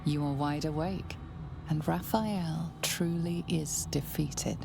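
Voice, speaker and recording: An actress voicing a solemn, portentous narrator in a dark fantasy game, measured and grave. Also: female voice, deep voice, steady tone, creaky, over loud music, full character voice.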